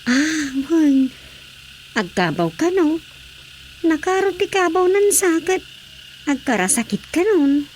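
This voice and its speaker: A woman's voice: high-pitched, sing-song, slow, long pauses, darkish tone, heavily acted. A woman playing an inquisitive village gossip who questions and scolds in a radio drama. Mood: scolding, curious. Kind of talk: Ilocano radio drama acting. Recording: faint background noise with crickets.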